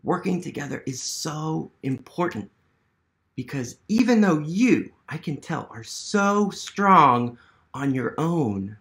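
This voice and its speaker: Slow-paced American man reading to children. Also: a little high, big pitch swings, creaky, a bit bright. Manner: encouraging, asks questions and pauses for young listeners. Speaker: museum literacy educator hosting a children's storytime. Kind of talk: interactive read-aloud for young children. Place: small living room at home, indoors.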